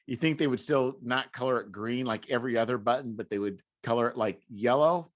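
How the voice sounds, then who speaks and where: A man with an average-pitched voice hosts a relaxed, informal podcast conversation. His delivery is halting, and he often repeats words and restarts phrases as he goes. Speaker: podcast host. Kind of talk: casual conversation.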